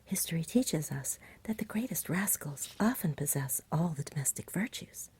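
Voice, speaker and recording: A grave, good-natured saintly knight, whispered by a woman, speaking in formal storybook phrases. The voice is soft, very husky and mellow. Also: low-pitched, lively intonation, moderate pace, full character voice. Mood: grave, courteous. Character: courteous, melancholy saintly knight with grandiose speech. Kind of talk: whispered ASMR storybook reading.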